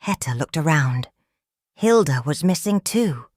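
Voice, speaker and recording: A woman reading a children's picture book aloud in a calm, measured, expressive storytelling manner. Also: deep voice, no room sound, clean studio sound. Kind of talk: children's read-aloud narration.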